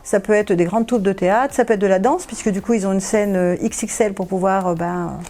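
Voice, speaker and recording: A woman giving unscripted interview answers as a theatre official, explaining in a halting, hesitant way. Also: medium pitch, mellow.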